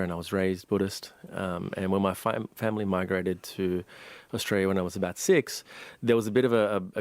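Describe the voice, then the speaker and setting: Low-voiced man, calm and reflective. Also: no room sound. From Sri Lanka. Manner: calm, thoughtful, many pauses and restarts. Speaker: meditation teacher. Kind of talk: reflective interview storytelling.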